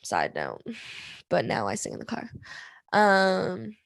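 A young woman with a raspy, slightly hoarse voice, hosting her own podcast in an open, rambling way with many fillers and restarts. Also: lowish voice, wildly swooping pitch, slow pace, no room sound, studio quality. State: hoarse from singing. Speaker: podcast host. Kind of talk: candid solo podcast talk.

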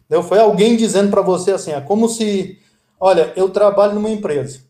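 A man in his fifties from Brazil, a guest telling stories in a relaxed, chatty way. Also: high voice, a little fast, echoey room. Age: 50s. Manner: storytelling, lively and chatty. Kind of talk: casual interview chat.

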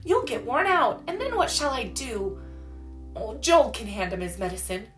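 A woman's voice: fairly high pitch, sing-song, normal speed, a bit bright, distant mic, faint music behind, voice pushed up. A woman voicing a practical, thrifty, concerned mother in a storybook, speaking plainly and firmly. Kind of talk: storybook character voice.

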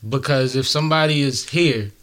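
A man's voice: medium pitch, moderate pace, fairly bright tone. Emphatic man singer talking shop. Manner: emphatic, repeats points for stress. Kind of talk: casual conversation.

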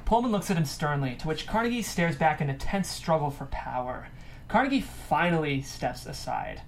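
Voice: medium pitch, very animated, normal speed, slight background noise. A narrator reading stage directions from a screenplay in a scripted table read.

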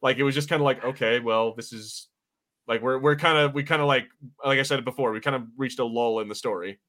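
Blunt man with a bright, forceful voice. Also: mid-range voice, steady tone, fairly quick. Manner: blunt, informal, swears. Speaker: podcast co-host. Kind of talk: casual opinionated banter.

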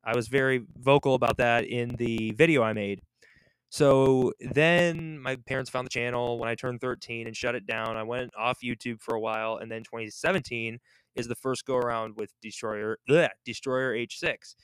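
A young man with a Michigan accent that has a slight Southern tinge talks quickly and casually, filling gaps with ums and yeahs. His voice is smooth and resonant. The recording is dry and close, with no room sound. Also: medium pitch, fairly even intonation, a bit bright, no echo, poor audio. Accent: Michigan with a slight Southern lean. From Michigan, United States. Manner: fast-talking, chatty, many fillers. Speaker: interview guest. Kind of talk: casual podcast chat.

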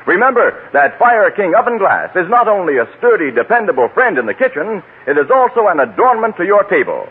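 A man's voice: high-pitched, breathy edge. A man reading a scripted old-time radio advertisement in a polished, emphatic announcer style. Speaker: commercial announcer. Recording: narrow-band old radio, faint music.

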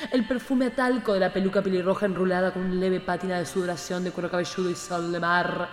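Casting: female radio-play narrator woman performer, campy and florid, measured delivery, soft music underneath. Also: mid-range voice, reverberant, big-room sound, lightly acted. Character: dramatic female narrator of a camp erotic tale.

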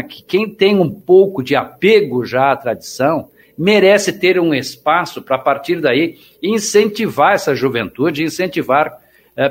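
A man, hosting an interview and posing measured, leading questions. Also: high-pitched. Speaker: interviewer host. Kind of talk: interview conversation.

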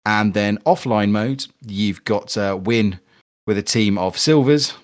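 A man's voice: lowish voice, slow. A man hosting a casual gaming podcast, chatty and upbeat as he reads plugs and talks strategy. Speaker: podcast host. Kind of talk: casual podcast chat about a football video game.

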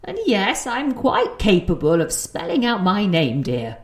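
A woman with a British accent playing a prim, sharp-tongued, confused grandmother in an audio play. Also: medium pitch, very animated, a little slow. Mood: indignant. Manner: prim, indignant, swinging between tart and apologetic.

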